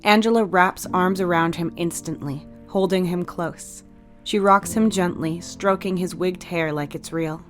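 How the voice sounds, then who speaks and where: Woman narrator, slightly low voice, scripted dramatic storytelling, music behind. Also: a little slow.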